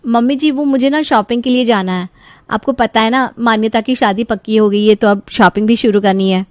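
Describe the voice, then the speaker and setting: A woman playing a carefree, overconfident young daughter-in-law in an animated story, with a playful, breezy, cheeky manner. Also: a little high, brisk, dry recording, close to an everyday voice. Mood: eager, cheeky. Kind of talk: Hindi animated story voice acting.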